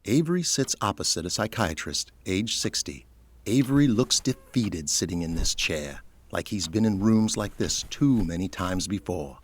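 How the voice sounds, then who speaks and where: A man's voice: low-pitched, expressive, normal speed. A man reading scripted narration for an audio drama.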